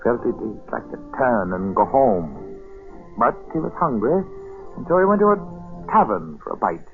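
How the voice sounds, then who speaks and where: An actor narrating a colonial tale as a storyteller in a 1940s radio play, measured and dramatic, with suspenseful pauses. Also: male voice, medium pitch, sing-song. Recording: old narrow-band radio recording with music.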